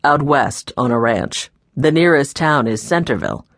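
A woman's voice: very deep voice, lively intonation, normal speed, no room sound, studio quality, heavily acted. A woman voicing a kindly, troubled old grandfather in a children's audiobook, sounding tired, sad and subdued. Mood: troubled, reflective.

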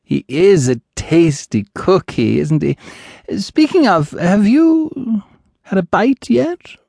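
A man's voice: sits high, animated, takes it slowly, vocal fry, a little mellow, no echo, studio quality, pitched above the performer's own voice, exaggerated voice. A man reading an audiobook, voicing an elderly female ghost witch who is doting, nosy and fond of calling people dearie. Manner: fluttery, motherly, teasing, calls others dearie.

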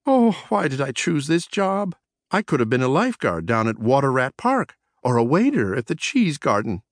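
A man's voice: medium pitch, very animated, moderate pace, no echo, clean studio sound, voice pushed up. A man voicing a fussy, exasperated mouse publisher in a children's storybook, whiny and melodramatic, pleading and shrieking. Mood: tearful, despairing. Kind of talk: acted children's storybook dialogue.

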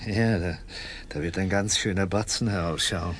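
An actor playing a stiff, disapproving school director in a radio drama. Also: male voice, sits low, moderate pace, slight background noise, lightly acted. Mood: matter-of-fact. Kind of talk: German radio drama acting.

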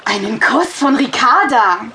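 A woman's voice: a little high, expressive, measured pace, bright, forceful tone, a little hiss or hum. A woman playing a cheeky child who acts in and narrates a children's sea adventure. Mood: teasing, bossy. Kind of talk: German children's audio drama acting and narration.

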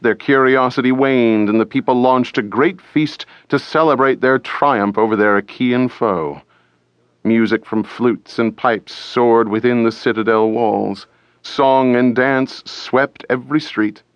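A man reading an audiobook as dramatic scripted narration, deliberate and theatrical, close to the microphone. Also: mid-range voice, no echo, muffled recording.

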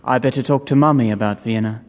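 An actor playing a dutiful sixteen-year-old son with a brief, deferential way of talking in an audio drama. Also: male voice, medium pitch, quick pace, lightly acted. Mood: earnest. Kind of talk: theatrical audio drama acting. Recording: reverberant hall stage recording.

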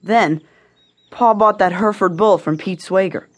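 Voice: medium pitch, normal speed, natural voice. An actor voicing a wary, defiant twelve-year-old frontier boy with an American accent and rustic, folksy speech in a Western audio drama. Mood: aggrieved, earnest.

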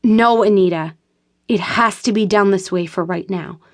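A woman reading an audiobook gives voice to a frantic, fearful young woman, pitching her speech up and pleading urgently. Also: moderate pace, natural voice. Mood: insistent.

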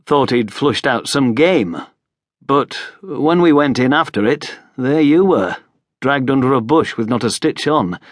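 A man's voice: mid-range voice, expressive, unhurried, no room sound, clean studio sound, voice pushed up. A man voicing a hearty, plain-spoken, hospitable short broad lord in an audiobook, with a bluff, informal manner. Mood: bluff, welcoming. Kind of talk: audiobook character voice.

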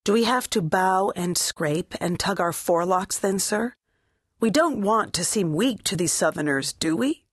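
A woman's voice: mid-range voice, expressive, measured pace, slightly rough, no echo, studio quality. An actress playing a proud, short-tempered woman knight with a blunt, sarcastic manner, in an audiobook. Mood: irritated. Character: proud, hot-tempered red-headed woman knight champion. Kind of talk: full-cast audiobook character acting.

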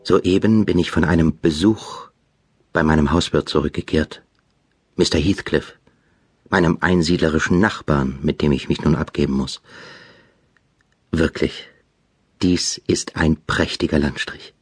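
An actor playing a polite, wordy young gentleman tenant who narrates and speaks with formal, elaborate courtesy in a radio drama. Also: a bass voice, measured pace, pauses often, slightly rough, dry recording, full character voice. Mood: reflective.

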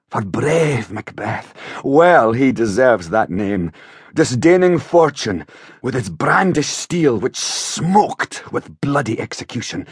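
A man voicing a wounded, exhausted but proud soldier, slow and halting, declaiming Shakespearean verse in a husky voice. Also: mid-range voice, no room sound, clean studio sound. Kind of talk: dramatized Shakespeare verse audiobook.

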